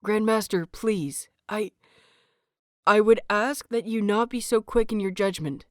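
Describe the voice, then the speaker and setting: A woman's voice: mid-range voice, a little slow, dry recording, close to an everyday voice. An earnest young male disciple, read by a woman in an audiobook story. He is polite but determined, pleading with careful restraint in a dry, close recording. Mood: calm, intense. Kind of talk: dramatised audiobook reading.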